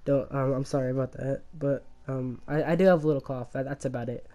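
A man with a slight cough and a voice worn down by illness, telling a rambling story over his gameplay, halting and restarting often. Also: medium pitch, fairly even intonation, mellow. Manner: halting, many restarts and fillers, laughs at himself. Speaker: gamer commentating his own gameplay.

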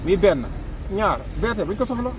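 A middle-aged man with a Senegalese accent giving his opinions in a street interview, animated and talking with his hands, recorded close on a handheld mic outdoors by a busy road. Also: high-pitched, fairly quick, crowd noise. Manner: animated, gestures with hands, mixes two languages. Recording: street traffic noise behind.

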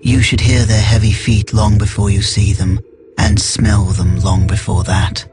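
An actor playing a contemptuous, sinister villain in a video game. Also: male voice, fairly low pitch, little pitch movement, a little slow, over loud music, close to an everyday voice. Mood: scornful. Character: contemptuous, sinister male villain scorning humans. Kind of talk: scripted game cutscene acting.

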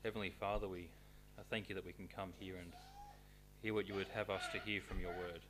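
Deep-voiced young man praying, distant and noisy. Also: fairly quick. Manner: earnest and steady, with repeated "Father". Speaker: church member leading prayer. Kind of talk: spoken prayer. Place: small church hall, at a lectern. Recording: distant mic with background noise.

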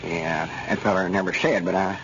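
A man's voice: low voice, fairly even intonation, normal speed, fairly bright tone. A man playing an eager, wary rural storekeeper in old radio comedy style, with a drawling Southern American accent. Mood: wary, conspiratorial. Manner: drawling, rustic talk. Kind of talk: 1930s-40s radio comedy acting. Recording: muffled, noisy old radio recording.

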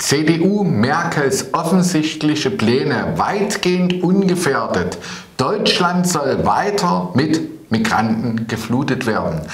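A middle-aged man giving scripted political commentary to camera in a deliberate, halting way, stressing his phrases. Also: medium pitch. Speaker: politician presenting a party news show. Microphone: clip-on lapel mic. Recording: roomy, echoing studio sound.

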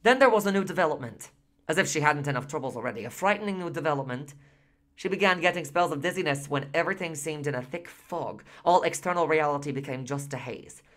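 Radio drama, male actor as excitable, aggrieved husband: chatty, digressive, emphatic storyteller in monologue. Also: fairly high pitch, fast, close to an everyday voice. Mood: eager, animated. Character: Frank Sweeney, talkative, excitable, aggrieved husband.

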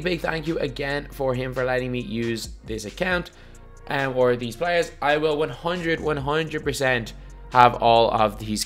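A young man, hoarse and losing his voice, chatting loosely through his own video game play as a YouTuber, rambling and repeating himself. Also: mid-range voice. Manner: rambling, energetic, repeats "so anyway". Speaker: gaming YouTuber. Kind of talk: casual video game commentary.

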